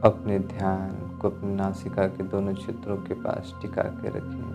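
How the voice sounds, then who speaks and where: A man giving guided meditation instruction, speaking calmly in measured phrases with pauses as an instructor. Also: sits low, creaky. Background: music.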